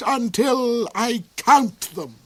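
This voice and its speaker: Cold wicked duke, high snarling sneer, lively swooping pitch, storybook villain. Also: male voice, very slow, heavily acted. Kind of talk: storybook reading.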